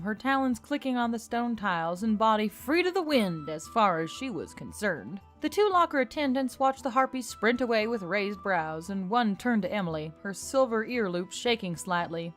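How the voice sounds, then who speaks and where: A woman's voice: medium pitch, very animated, moderate pace, hardly any pauses. Woman narrating a story over music. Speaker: audiobook narrator. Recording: music behind the voice.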